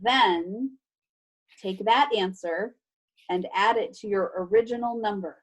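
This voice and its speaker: A woman teacher reading a children's book aloud over a video call, expressive and instructive, with playful emphasis and casual asides to pupils. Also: medium pitch, unhurried, rough recording. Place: remote video class.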